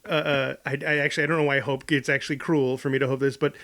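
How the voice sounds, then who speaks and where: A man co-hosting a casual comedy podcast, with a halting, dryly self-deprecating way of bantering. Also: medium pitch, flat delivery, a bit bright. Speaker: podcast co-host.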